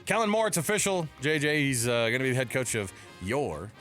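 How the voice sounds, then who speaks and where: A man's voice: mid-range voice, big pitch swings, a little fast, fairly bright tone, soft background music. An American man co-hosting a sports radio show, chatting casually and jokingly in colloquial style from a studio.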